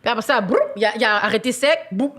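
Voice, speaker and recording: A woman's voice: a little high, quick pace, fairly bright tone, slight background noise. A young woman with a Quebec French accent, co-hosting a podcast and telling stories in slangy, dramatic bursts. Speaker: podcast co-host. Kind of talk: casual chat.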